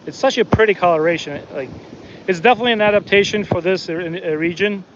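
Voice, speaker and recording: A man's voice: high voice, fast talker, dull audio, slight background noise. A man born in the Soviet Union, chatting casually on a live stream as he fishes from a beach, talking to the fish and to his viewers. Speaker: fishing livestream host. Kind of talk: casual live commentary while fishing. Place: outdoors on a beach by the water. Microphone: phone.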